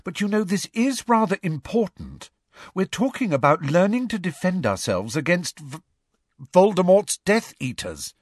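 A man voicing an earnest, impatient teenage schoolgirl with a brisk, tart, insistent manner in an audiobook. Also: high-pitched, sing-song, no echo, clean studio sound, voice pushed up.

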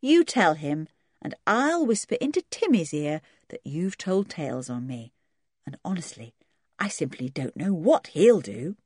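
A woman's voice: low-pitched, big pitch swings, measured pace, a little mellow, husky, no room sound, clean studio sound, exaggerated voice. A woman voicing a bold, mischievous tomboy girl in a storybook, with a firm, taunting manner.

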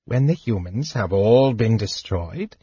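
A man's voice: lowish voice, animated, a little slow, mellow, husky, dry recording, lo-fi sound. A man voicing a sly, grasping hooded male servant who speaks in a curt, edgy way.

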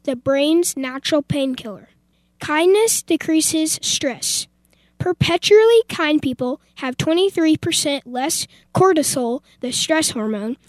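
A woman's voice: high-pitched, no room sound. A female youth radio presenter reading out scripted facts.

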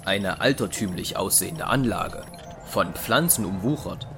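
A man's voice: low-pitched, animated, a little slow, background noise. A man narrating a German science fiction audio drama in a dramatic, scripted storytelling style.

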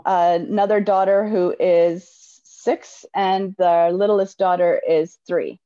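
A woman in her forties speaks in a measured, unhurried way as a guest in a casual interview. Her voice is mellow and warm. The recording is a bit dull and lo-fi. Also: on the low side, fairly even intonation. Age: 40s. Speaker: guest, home daycare provider.

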